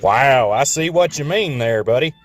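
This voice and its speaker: A man voicing a brash young male locomotive with an exaggerated put-on Texan accent, talking in an energetic, folksy, boastful way. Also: mid-range voice, moderate pace, slight background noise, voice pushed up, natural voice.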